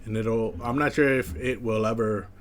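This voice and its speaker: A young man with a slight lisp, chatting casually as a first-time streamer in a flat, monotone way with many fillers. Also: lowish voice, normal speed, slight background noise. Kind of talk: casual chat.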